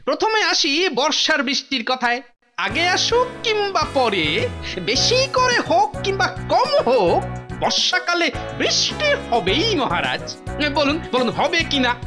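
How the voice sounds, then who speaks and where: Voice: high-pitched, sing-song, measured pace. An actor voicing a clever, sly court jester in a cartoon, coaxing and explaining with teasing hesitations. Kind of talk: animated cartoon dialogue. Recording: loud music behind the voice.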